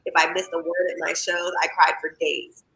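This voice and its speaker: A singer and songwriter with a raspy, scratchy voice, chatting candidly as an interview guest, rambling with many fillers and restarts. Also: mid-range voice, level tone, moderate pace, sharp tone. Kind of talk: casual interview chat.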